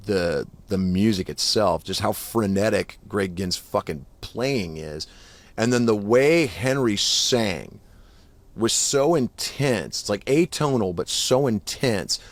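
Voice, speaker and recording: A man around fifty talks in a low, easy voice on a podcast. His chat is casual and profane, and he gets animated when a story takes hold of him. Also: slow pace, no echo. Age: 50s. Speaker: guest musician.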